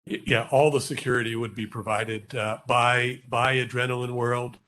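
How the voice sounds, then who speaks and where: Project representative answering council questions, mid-pitched, halting and explanatory. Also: male voice, flat delivery, bright, forceful tone, a little hiss or hum. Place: council chamber.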